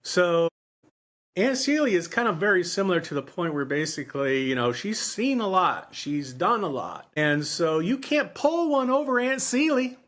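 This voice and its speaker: A man in his fifties co-hosting a casual book discussion, speaking haltingly and often circling back to repeat his phrases. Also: sits high, sing-song, fairly bright tone. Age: 50s. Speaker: podcast host.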